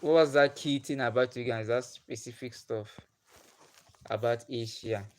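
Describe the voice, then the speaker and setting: A man's voice: mid-range voice, measured pace. Chatty Nigerian man on a livestream. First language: Igbo. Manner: chatty, laughs often, asks viewers questions. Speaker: livestream host. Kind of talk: casual chat with live viewers. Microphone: phone or webcam on a livestream.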